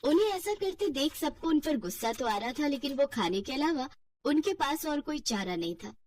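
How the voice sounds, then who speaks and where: A woman's voice: high voice, lively intonation, moderate pace, darkish tone, far from the mic, slight background noise. A woman telling a scripted family cartoon story as narrator.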